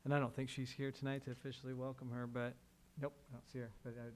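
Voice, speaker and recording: Male administrator, mid-pitched voice, unhurried friendly remarks; faint background noise. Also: level tone. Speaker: school administrator. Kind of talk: remarks at a board meeting.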